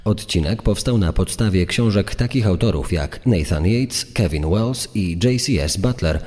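Deep, mellow male narrator with reverb. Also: animated, measured pace. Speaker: true-crime podcast narrator. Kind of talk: scripted narration.

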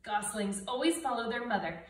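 Expressive, lively woman reading a storybook aloud. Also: a little high, brisk. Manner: animated, dramatic emphasis for a child. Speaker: storybook reader. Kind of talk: expressive children's read-aloud. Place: indoors, living room by brick fireplace and window. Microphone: camera a few feet away. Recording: roomy, distant mic, faint noise.

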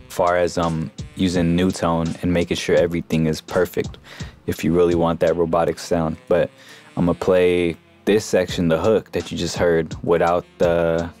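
Very low-pitched man, flat tutorial delivery. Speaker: music production tutorial host. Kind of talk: casual screen-recorded software tutorial.

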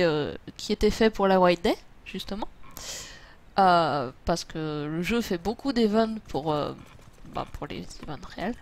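A woman's voice: medium pitch, a little hiss or hum. A French woman chatting casually and playfully as she streams an online game.

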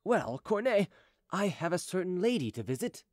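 Man voicing a courteous, high-pitched, evasive gentleman. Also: sing-song, moderate pace, no room sound, studio quality. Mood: confiding, coaxing. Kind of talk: audiobook character dialogue.

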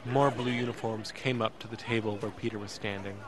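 A man playing a frightened young man, narrating a tense ordeal in first person in an audio drama, halting and intense. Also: lowish voice, fairly even intonation, lightly acted. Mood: tense dread. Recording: busy airport crowd behind.

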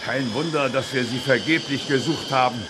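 A man's voice: mid-range voice, normal speed, background noise, close to an everyday voice. A man in a German audio drama playing a blustering, incredulous army general in space, given to exclamations. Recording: music clearly behind the voice. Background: music.

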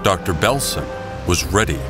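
A man narrates a scripted adventure story in a dramatic style. His voice is deep, and loud music plays beneath it. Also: animated, normal speed.

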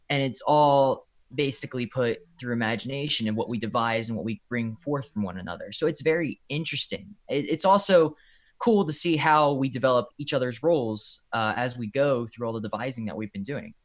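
Young man with an average-pitched voice. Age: young adult. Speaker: student performer being interviewed. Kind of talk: casual explanatory interview. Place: bedroom, indoors, on a video call. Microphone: microphone on boom arm, headset.

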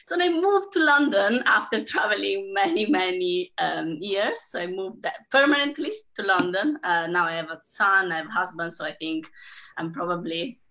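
A woman's voice: medium pitch, lively intonation, normal speed, sharp tone. A woman in her thirties from Italy with an Italian accent, introducing herself as a dance educator on a video call, chatty with many fillers. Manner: chatty, many fillers, laughs between phrases. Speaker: panel guest, dance educator. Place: home room. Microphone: laptop webcam mic on video call.

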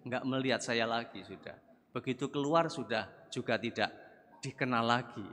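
Older male priest, unhurried conversational sermon, pulpit mic, big echoing church. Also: medium pitch, pushed and bright. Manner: measured, conversational preaching with rising emphatic bursts. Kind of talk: sermon at a thanksgiving Mass. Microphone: gooseneck pulpit mic. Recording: long church reverb.

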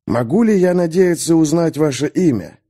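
A man's voice: on the high side, animated, moderate pace, mellow, voice pushed up. Man reading a stiff, proud male mage. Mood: composed. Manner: dry, formal, restrained.

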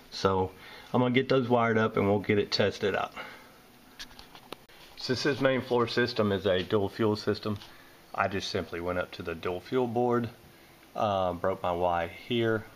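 Southern man, HVAC technician, low voice, plain step-by-step explaining, handheld camera with faint room noise. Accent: Southern American. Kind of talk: hands-on repair walkthrough. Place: indoors at a furnace in a basement or utility space. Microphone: handheld camera filming close-up work.

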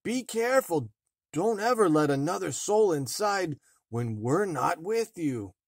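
A man voicing a kindly but stern storybook dwarf with slow, emphatic phrasing. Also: sits high, expressive, dry recording, clean studio sound, voice pushed up, exaggerated voice. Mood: warning.

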